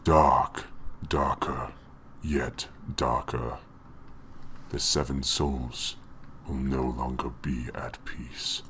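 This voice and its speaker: A man voicing a menacing, dark spectral scientist from a video game in an ominous drawn-out delivery, with the voice digitally shifted far down. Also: level tone, slight background noise, heavily acted. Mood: slow menace. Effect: digitally pitched far down. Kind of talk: video game villain monologue.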